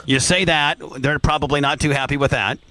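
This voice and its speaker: A man's voice: fairly high pitch, fairly even intonation, a little fast, bright, forceful tone. A man hosting a radio talk show, casually prodding and interrupting with mocking banter.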